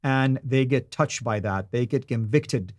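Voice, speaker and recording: A man's voice: medium pitch, measured pace, darkish tone, no room sound. A man from Saudi Arabia whose first language is Arabic, hosting a live stream from a studio and speaking earnestly to his viewers with repeated, emphatic phrases.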